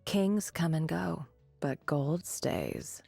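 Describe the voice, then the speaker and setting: A woman voicing a cocky, greedy mercenary desert warrior in a video game, husky and gravelly, with dry, sardonic delivery. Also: sits low, sing-song, extremely slow, darkish tone, no room sound, faint music behind, exaggerated voice. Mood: cool, sardonic. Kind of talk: video game character voice lines.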